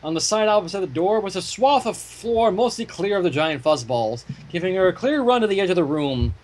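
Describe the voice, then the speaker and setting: A man giving an expressive, storybook-style narration as he reads fan fiction aloud. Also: high-pitched, a little fast, low-quality recording.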